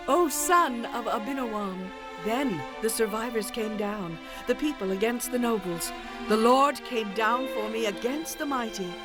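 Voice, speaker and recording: Woman acting commanding female prophet, solemn, exultant, measured slow delivery, biblical audio drama, clear music behind. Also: fairly high pitch, close to an everyday voice. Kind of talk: biblical radio-theatre dramatization, declamatory verse.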